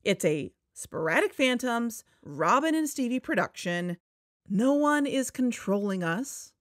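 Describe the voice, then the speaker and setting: A woman's voice: a little high, sing-song, unhurried, no room sound, studio quality. A woman playing an earnest, candid podcast co-host, speaking in a conversational scripted style. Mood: firm, candid. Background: background music.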